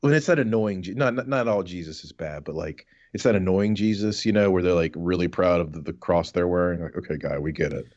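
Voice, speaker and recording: Low-voiced sardonic rambling man, slightly muffled. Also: fairly quick, hardly any pauses, a little mellow. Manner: rambling, sardonic, sweary, stammers and repeats words. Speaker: podcast co-host. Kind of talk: casual comic ranting chat.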